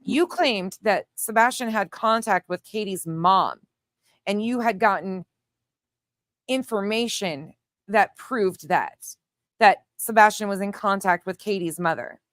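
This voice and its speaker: A woman's voice: medium pitch, a little slow, fairly bright tone, no echo, studio quality. A woman hosting an opinionated online case commentary, speaking in a pointed, emphatic, argumentative way. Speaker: commentary show host.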